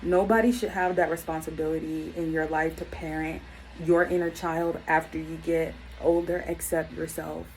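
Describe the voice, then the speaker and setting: A woman's voice: deep voice, little pitch movement, moderate pace, a little mellow, a little hiss or hum, poor audio. Earnest woman in her thirties, reflective. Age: 30s. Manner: earnest, reflective, many ums and restarts. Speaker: YouTube self-help vlogger. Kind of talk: unscripted personal talk to camera.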